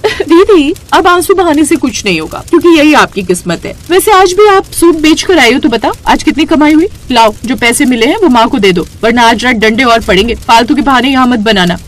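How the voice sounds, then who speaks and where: A woman's voice: sits high, animated, fairly quick. A woman voicing a selfish younger stepsister in a cartoon story, taunting her elder with a sneering, bossy manner. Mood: mocking.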